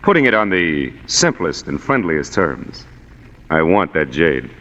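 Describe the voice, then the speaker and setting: A man's voice: very deep voice, very animated, a little slow, fairly bright tone, full character voice. An actor playing a scheming, politely menacing male villain in formal 1940s radio drama style. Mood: smooth, menacing. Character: smooth, scheming male villain after stolen jade. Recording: muffled reverberant vintage radio recording.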